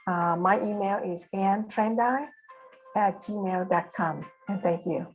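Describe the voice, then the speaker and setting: A woman's voice: mid-range voice, takes it slowly, faint music behind. A woman, a committee vice chair giving a hesitant recorded welcome with fillers. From Vietnam.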